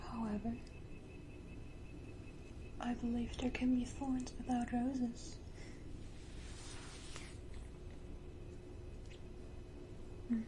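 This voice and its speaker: A woman voicing a menacing, tenderly cruel vampire matriarch in a slow, breathy, theatrical whisper for an ASMR roleplay. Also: fairly high pitch, flat delivery, background noise. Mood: bitter, proud.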